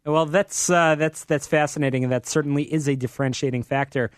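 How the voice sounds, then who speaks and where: A man's voice: fairly high pitch, fairly even intonation, brisk, no echo. An American man hosting a live business talk radio show from a studio in an upbeat, promotional manner.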